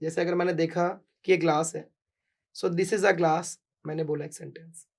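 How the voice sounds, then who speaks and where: Gravelly-voiced Bihari man coaching. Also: fairly high pitch, flat delivery, normal speed. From Bihar, India. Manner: mixes Hindi and English, emphatic, repeats "right?". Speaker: English coach, guest. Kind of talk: casual podcast interview.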